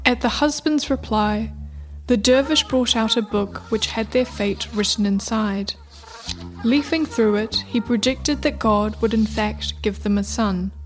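A woman's voice: a little high, unhurried. A woman narrator reading a scripted radio play in a storybook style. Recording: faint music under narration.

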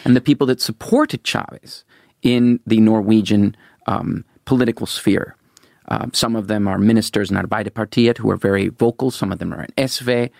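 Interview guest: middle-aged man, gravelly, dry close sound, earnest, stumbles on restarts. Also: on the low side, normal speed, darkish tone, dry recording. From Venezuela. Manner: earnest, repeats words when starting sentences. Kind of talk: political interview.

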